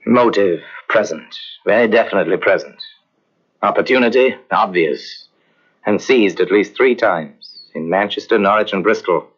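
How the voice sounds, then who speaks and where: A man narrating a detective mystery in old-time radio style, grave and measured. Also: on the low side, close to an everyday voice. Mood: solemn. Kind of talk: 1950s radio drama narration. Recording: dull old radio transcription.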